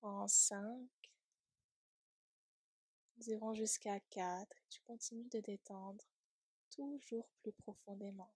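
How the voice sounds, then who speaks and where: A woman leading a guided yoga nidra relaxation in a soft, breathy, soothing voice, gently trailing off at times toward a whisper. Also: medium pitch, slow pace, long pauses, no echo, studio quality.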